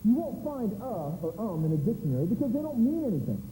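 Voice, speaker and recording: A man's voice: sits high, sing-song, quick pace, dark tone, slight background noise. A man playing a brash, teasing stand-up comedian who gives a scripted lesson to an audience in a punchy, bossy showman's style. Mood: emphatic. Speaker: comedian presenting a training film. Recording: echoey hall, music behind.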